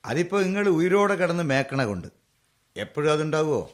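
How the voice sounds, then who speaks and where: An actor playing a practical, sceptical village elder in a radio drama, speaking colloquial Malabar Malayalam. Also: male voice, fairly high pitch, big pitch swings, fairly quick.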